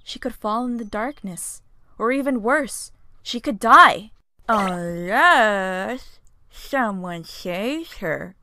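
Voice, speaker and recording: A woman's voice: mid-range voice, animated, takes it slowly, sharp tone, no echo, heavily acted. A woman voicing a brash, sassy young female unicorn in a cartoon comic dub, blunt and scornful. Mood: scornful, urgent.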